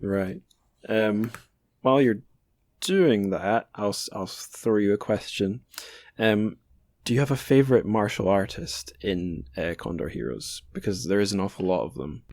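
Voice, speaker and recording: A man's voice: fairly low pitch, expressive, a little slow, a little mellow, dry recording. A man hosting a podcast in casual interview chat, informal and a little self-deprecating. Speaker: podcast host.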